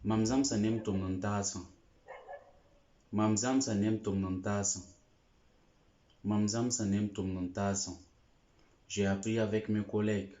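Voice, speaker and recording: Male language tutor, low and mellow, patient phrase drills, dull lo-fi echoey recording. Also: fairly even intonation. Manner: slow, deliberate, repeats each phrase three times. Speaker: language teacher. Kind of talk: slow repeated phrase drills.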